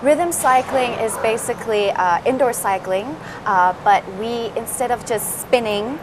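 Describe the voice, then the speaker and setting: A woman's voice: a little high, unhurried, background noise. A woman from Singapore, a fitness instructor, explaining her classes in an interview with many "uh" fillers. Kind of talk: interview answers.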